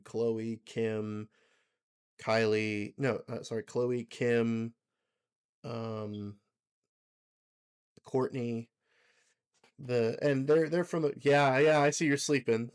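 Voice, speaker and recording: A young man co-hosting a podcast, his voice hoarse from a recent sickness. He rambles along with plenty of ums and likes, chuckling as he goes, at an ordinary middle pitch. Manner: rambling, filler-heavy, laughs often. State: hoarse, recovering from illness. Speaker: podcast co-host. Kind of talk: casual podcast chat.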